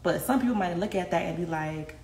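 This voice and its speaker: A woman's voice: lowish voice, a little fast, darkish tone. A young woman chatting candidly with viewers on a phone livestream from her home kitchen, laughing often and swearing freely. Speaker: livestream host. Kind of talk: casual livestream chat with viewers. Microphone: phone at arm's length. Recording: echoey kitchen with faint noise.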